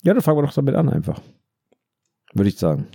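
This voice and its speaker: A man's voice: medium pitch, sing-song, fast talker, creaky, warm tone, slightly rough, dry recording, studio quality. A man in casual, unscripted podcast talk, explaining things and repeating phrases for emphasis. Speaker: podcast co-host. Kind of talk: casual unscripted podcast chat about photography.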